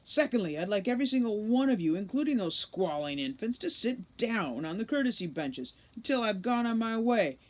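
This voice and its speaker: Woman playing bossy male elf commander for storybook: gruff, gravelly, emphatic, raised and forceful. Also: mid-range voice, big pitch swings, a little fast, hardly any pauses, voice pushed up, poor audio. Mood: commanding, irritated. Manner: barking, emphatic orders.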